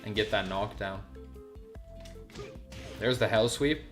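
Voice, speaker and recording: Low-voiced male streamer, halting and off-the-cuff. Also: soft background music. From Sweden. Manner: halting, searching for words with repeated false starts. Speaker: live streamer. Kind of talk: casual unscripted livestream chat and game commentary.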